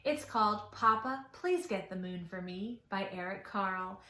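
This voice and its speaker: A woman's voice: medium pitch, normal speed, pushed and bright. A woman leading a children's storytime, talking in an exaggerated singsong way as if to small children. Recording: echoey room.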